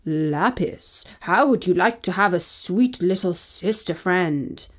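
A woman's voice: lowish voice, lively intonation, slow pace, pitched below the performer's own voice. A woman voicing a stern, grumpy male priest in a children's story, firm and admonishing. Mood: matter-of-fact.

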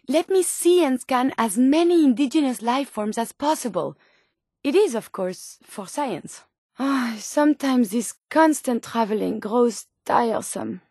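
High-voiced, curious French robot scientist. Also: female voice, a little mellow, husky, dry recording, studio quality, heavily acted. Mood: earnest, eager, then disapproving. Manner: formal, precise phrasing with earnest wonder. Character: curious, earnest female robot scientist turned human, formal and naive. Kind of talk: scripted video game character dialogue.

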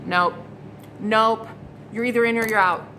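A woman's voice: a little high, fairly even intonation, unhurried, bright, forceful tone. Blunt, exasperated woman reading tarot cards. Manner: blunt, exasperated, swears freely, repeats herself. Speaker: psychic tarot reader. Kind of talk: casual tarot reading to camera. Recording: noticeable background noise.

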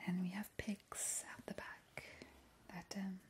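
A woman playing a playfully creepy, doting vampire in a breathy, cooing ASMR whisper. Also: medium pitch, flat delivery, natural voice. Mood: coaxing, sinister. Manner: slow, lingering, cooing. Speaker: ASMR performer. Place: small indoor kitchen.

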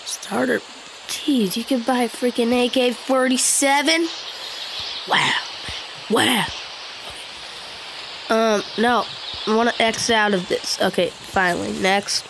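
Stuffed-up woman doing slow game commentary. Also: fairly high pitch, creaky, noisy background. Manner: hesitant, chatty, frequent ums and self-deprecating groans. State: stuffed-up nose. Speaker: gaming channel host. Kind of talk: casual let's play commentary. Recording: loud game music behind.

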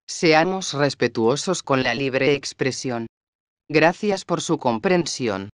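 A man's voice: mid-range voice, animated, slow, dry recording. A man reading a scripted disclaimer as narrator.

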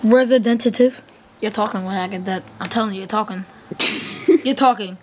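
A woman's voice: medium pitch, moderate pace. A young woman chatting casually in a bossy, teasing, slangy way while making prank calls. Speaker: prank caller. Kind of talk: casual chat. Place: room at home. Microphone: handheld camera or phone. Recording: noisy handheld amateur recording with clicks.

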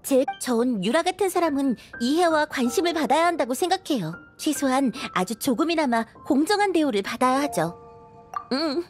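A woman's voice: sits high, animated, moderate pace, faint music behind. An actress playing a kind, earnest young restaurant worker in a video game, polite and sympathetic as she explains.

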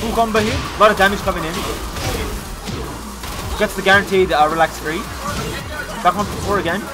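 An Australian man giving excited play-by-play commentary at a fighting game tournament, hoarse from losing his voice. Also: high-pitched. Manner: excited, rapid move calls. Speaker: esports commentator. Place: tournament venue. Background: loud crowd and game music.

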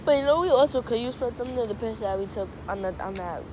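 A woman's voice: fairly high pitch, animated, quick pace. A young woman chatting casually with a friend for a vlog, using slangy, profane, informal speech. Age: young adult. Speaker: vlogger. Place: outdoors on a beachfront and street. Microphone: handheld phone at arm's length. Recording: loud wind and outdoor street noise.

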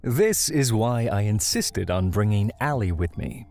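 Confident fantasy adventurer chief, played by a man; lowish, composed, faint music behind. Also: animated, a little slow, darkish tone. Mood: calm, assured. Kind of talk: fantasy audio drama acting.

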